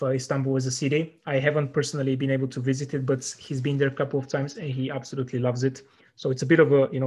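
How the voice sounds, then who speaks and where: A man's voice: mid-range voice, darkish tone, breathy edge. A man hosting an interview, who asks questions in a friendly, hesitant way with many fillers. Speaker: interviewer, podcast host.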